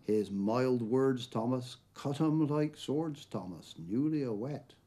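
Slow, lilting Dorset man reciting verse. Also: medium pitch. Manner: expressive, emphatic storytelling. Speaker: poetry reader. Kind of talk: dramatic dialect poetry recitation. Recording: noticeable background noise, 1990s television soundtrack.

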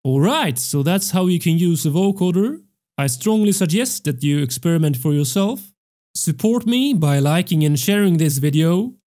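A young man hosting a music production tutorial, explaining step by step in a measured way into a close studio mic. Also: fairly high pitch, lively intonation, dark tone. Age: young adult. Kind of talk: tutorial narration. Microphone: close studio microphone on a stand.

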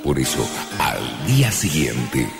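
An extremely deep solemn narrator. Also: male voice, sing-song, slow pace, creaky, full character voice. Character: solemn, bass-voiced male radio soap narrator. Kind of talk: scripted narration. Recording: echoing, music behind.

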